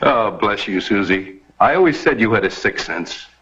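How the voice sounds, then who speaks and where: A resolute but friendly lawman of the Old West, speaking with an American accent. His voice is fairly low and rough-edged. The soundtrack is dull, like vintage television. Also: animated, normal speed, a bit bright. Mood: warm, pleased. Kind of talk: old American TV western acting.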